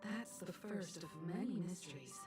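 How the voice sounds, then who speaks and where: An actress playing an earnest, gentle young woman in a video game, recounting legends in a measured, sincere way. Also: on the low side, lively intonation, far from the mic, music in the background, close to an everyday voice. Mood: grave, curious. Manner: measured, sincere, story-telling delivery. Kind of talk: scripted video game dialogue.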